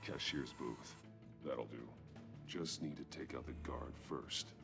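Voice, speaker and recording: An actor playing a grim, brooding masked vigilante in a video game, terse, stoic and commanding. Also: male voice, very deep voice, slow, creaky, over music, heavily acted. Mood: focused. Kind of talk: video game hero acting.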